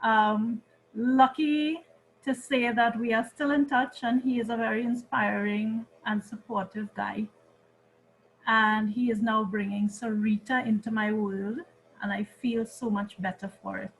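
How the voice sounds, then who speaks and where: A woman with a Trinidadian accent hosting an interview series, introducing her guest in measured, welcoming words. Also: fairly high pitch, steady tone, a little hiss or hum, rough recording. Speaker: interview host. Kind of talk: hosted interview.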